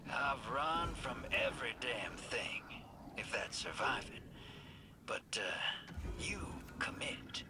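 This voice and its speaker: A wry drawling rogue. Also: male voice, mid-range voice, sing-song, takes it slowly, whispered. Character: wry roguish male drifter. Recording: noisy game audio.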